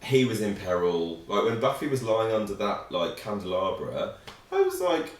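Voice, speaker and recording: A man's voice: lowish voice, moderate pace. A man co-hosting a reaction show, talking casually and with frustration about an episode. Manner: animated, frustrated critic. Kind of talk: casual chat reviewing an episode. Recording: echoey room, distant mic.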